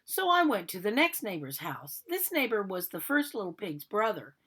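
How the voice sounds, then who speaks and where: A woman's voice: medium pitch, big pitch swings, moderate pace, a bit bright, husky. A woman voicing an aggrieved male big bad wolf, telling his own storybook tale in a chatty, theatrical way and protesting his innocence. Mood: self-justifying, dramatic. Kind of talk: animated storybook read-aloud.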